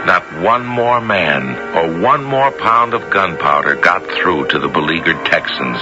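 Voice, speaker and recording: A man hosting and narrating a radio drama in a solemn, suspenseful, scripted style. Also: low-pitched. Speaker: narrator and host. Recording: muffled late-1970s radio broadcast. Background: music.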